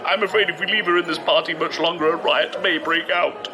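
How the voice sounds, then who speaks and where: A man's voice: a little high, steady tone, noisy background, lightly acted. Discreet formal manservant, lightish voice man playing. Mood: dry, deferential. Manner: formal, measured, dry. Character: discreet, deferential manservant to a noblewoman. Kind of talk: audio drama acting.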